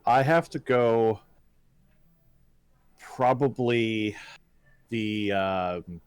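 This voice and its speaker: A man's voice: on the low side, drawn-out words, clear, resonant tone, dry recording. A man co-hosting a casual podcast, chatting in short, laid-back remarks. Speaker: podcast co-host. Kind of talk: casual chat about movies.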